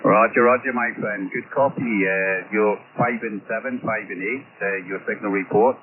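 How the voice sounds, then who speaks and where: A man making a ham radio contact, speaking haltingly with many ums and repetitions over a radio transmission. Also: fairly low pitch, phone-quality sound. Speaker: amateur radio operator. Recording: phone-thin radio signal with clear static noise.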